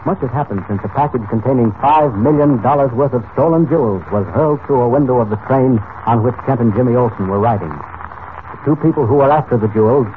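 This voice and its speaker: Male vintage serial announcer, mid-pitched, declamatory scripted narration, narrow thin band with faint hiss. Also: fairly quick. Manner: dramatic, declamatory. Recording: tinny, noisy old radio recording.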